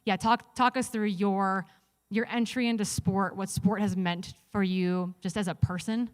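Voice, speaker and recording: Clear-voiced woman hosting a chummy interview. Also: medium pitch, fairly even intonation, unhurried, no echo. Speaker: host interviewing runners on stage. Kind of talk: live casual interview. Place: live event stage.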